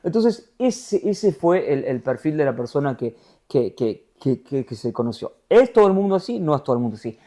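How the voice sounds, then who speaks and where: A middle-aged man from Argentina with an Argentine Spanish accent, a TV news anchor being interviewed, talking in a lively storytelling way. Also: a little high, moderate pace, few pauses. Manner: animated storyteller, voseo, acts out quotes. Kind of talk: conversational interview.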